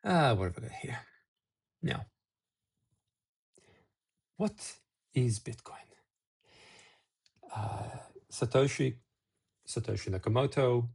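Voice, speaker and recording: A man's voice: fairly low pitch, warm tone, slightly rough. A middle-aged man from Serbia hosting a casual talk-to-camera video, rambling with restarts and asides. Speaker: video host.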